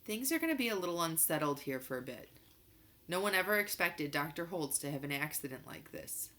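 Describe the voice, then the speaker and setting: A woman voicing a calm, reasoning father in a storybook, measured and reassuring. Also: low-pitched, exaggerated voice. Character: calm reasoning father, middle-aged man.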